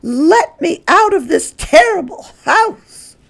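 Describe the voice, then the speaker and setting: A woman voicing a haughty, indignant lady visitor in a storybook, shrill and hysterical with outrage. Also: very animated, takes it slowly, creaky, heavily acted. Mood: shrieking panic.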